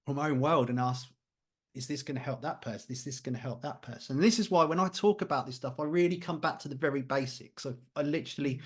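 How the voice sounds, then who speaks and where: A man talking candidly and informally as a podcast guest on mental health, with a blunt way of putting things. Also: mid-range voice. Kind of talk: casual, candid podcast conversation.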